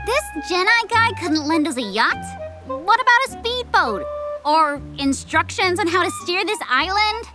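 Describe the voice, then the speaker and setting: A woman's voice: very high-pitched, lively intonation, unhurried, loud music behind the voice. A woman voicing a small, demanding child in a cartoon parody, sassy, whiny and indignant. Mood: sassy complaining. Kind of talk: comedic animated parody voice acting.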